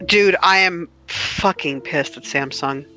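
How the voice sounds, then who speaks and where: A woman's voice: deep voice, slow pace, sharp tone, faint music behind, poor audio. A woman talking casually and informally on a livestream with friends.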